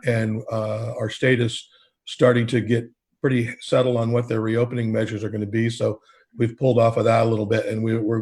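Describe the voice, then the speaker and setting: A man's voice: fairly low pitch, darkish tone, breathy edge. A man, a state coalition leader from Oregon, talking steadily with many fillers on a panel call. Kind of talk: panel discussion on a video call. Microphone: video call.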